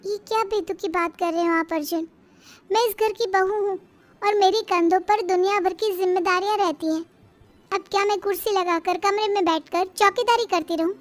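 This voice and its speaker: A woman's voice: extremely high pitch, steady tone, moderate pace, soft background music. A woman voicing a dutiful young wife who answers back with indignant sarcasm in a cartoon story. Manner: indignant, sarcastic when challenged. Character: dutiful young wife, quick to stand up for herself. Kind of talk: animated moral-story acting.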